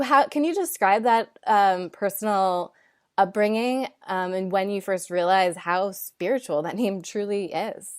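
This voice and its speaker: A woman hosting a podcast, reading a poetic intro and asking admiring questions. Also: mid-range voice. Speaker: podcast host. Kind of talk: scripted intro and interview.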